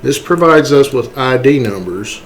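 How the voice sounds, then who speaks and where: A man giving a casual, step-by-step software walkthrough, informally checking in with okay and right as he goes. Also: mid-range voice, expressive, a little slow, a little hiss or hum. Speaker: tutorial presenter. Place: indoors, voice-over for a screen recording.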